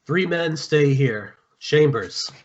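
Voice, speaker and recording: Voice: medium pitch, expressive, slow. A table reader playing a commanding male squad leader, giving terse orders. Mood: clipped, commanding. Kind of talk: scripted table-read acting. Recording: noisy, dull lo-fi call audio.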